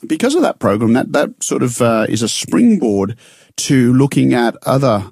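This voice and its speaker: A man with a croaky voice, co-presenting a relaxed radio chat in a studio and filling his talk with ums and uhs. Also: medium pitch, normal speed, no echo. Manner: chatty with frequent fillers.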